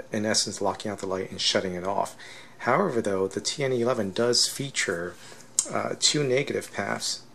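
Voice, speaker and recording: A man's voice: lowish voice, vocal fry, a bit bright, slight background noise. A man giving a casual, unscripted technical demonstration as a product reviewer, explaining step by step and often checking in with a questioning tag.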